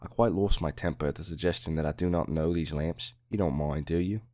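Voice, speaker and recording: Man playing deep-voiced indignant, scornful Egyptologist. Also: brisk, darkish tone, no echo, studio quality. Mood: apologetic. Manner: emphatic, scornful rhetorical questioning. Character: indignant Egyptologist traveller, quick-tempered, scornful and proud. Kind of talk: dramatic audiobook reading.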